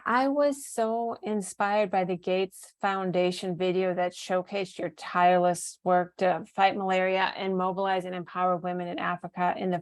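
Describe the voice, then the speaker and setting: Low-voiced woman hosting a podcast. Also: no echo. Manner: reads a guest introduction, then asks questions. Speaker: podcast host. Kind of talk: interview podcast with scripted introduction.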